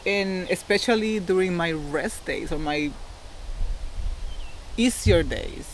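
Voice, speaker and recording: A woman's voice: fairly low pitch, slow, low-quality recording. A woman with a Costa Rican Spanish accent speaks as an interview guest. She explains her own experience candidly in a conversational way, with slight noise in the background. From Costa Rica. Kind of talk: personal storytelling in casual interview.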